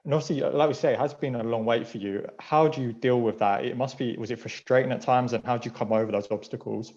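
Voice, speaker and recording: A man's voice: mid-range voice, fairly even intonation, quick pace, a little mellow. A man working as a sports journalist, asking conversational questions over slightly dull remote call audio. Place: remote media conference call.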